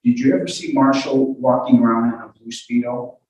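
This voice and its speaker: A man working as a lawyer, putting questions to a witness in a halting, apologetic way. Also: mid-range voice, level tone, a little mellow. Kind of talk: courtroom cross-examination. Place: indoor courtroom. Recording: echoey courtroom, distant mic.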